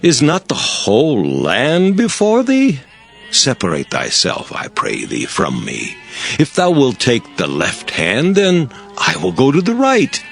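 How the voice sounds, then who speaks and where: An actor playing an earnest, pleading older patriarch in a dramatized scripture recording, measured and entreating. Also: male voice, medium pitch, wildly swooping pitch, creaky, heavily acted. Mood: earnest, conciliatory.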